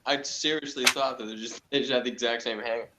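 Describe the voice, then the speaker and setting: A man chatting casually on a review panel in a hesitant, halting way. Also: mid-range voice, fairly even intonation. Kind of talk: casual chat. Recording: dull lo-fi audio with hiss and clicks.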